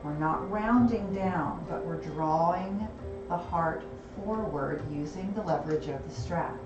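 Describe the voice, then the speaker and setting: A woman teaching a gentle yoga class, calmly cueing breath and movement, her voice a little gravelly and hoarse. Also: fairly low pitch, unhurried, muffled recording. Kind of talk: gentle guided yoga instruction. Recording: roomy, distant, music behind.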